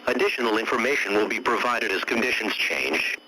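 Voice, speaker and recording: A man delivering a formal scripted emergency alert in a measured, official, instructive way. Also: lowish voice, animated, bright, forceful tone, a little hiss or hum, rough recording.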